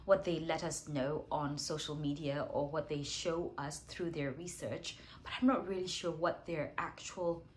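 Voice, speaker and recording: A woman English teacher hosting a writing challenge, talking casually to camera while congested with a cold, chatty and self-deprecating, clearing her throat and coughing now and then. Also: low voice, steady tone, unhurried, few pauses.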